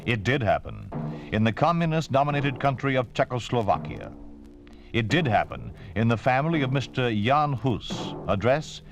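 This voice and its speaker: A man's voice: mid-range voice, big pitch swings, moderate pace. A man announcing a 1950s radio program in a solemn, formal manner. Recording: old radio broadcast over music.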